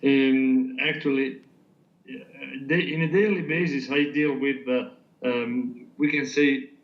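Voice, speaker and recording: A man from Italy with an Italian accent, answering interview questions in English with many pauses and fillers. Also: mid-range voice, fairly even intonation, lo-fi sound. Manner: hesitant, many fillers, searching for words. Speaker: physical therapist guest.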